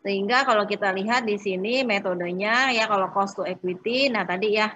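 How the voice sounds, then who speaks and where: A woman working through exercises as an accounting instructor in an informal step-by-step lecture, often pausing and adding short check-in tags. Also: medium pitch, a bit bright, slight background noise.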